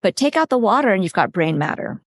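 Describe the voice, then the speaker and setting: A woman's voice: medium pitch, very animated, quick pace, no room sound, clean studio sound. A woman from California, a dietitian on a podcast, explaining food and nutrition in a practical, step-by-step way. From California, USA. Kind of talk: conversational expert explanation.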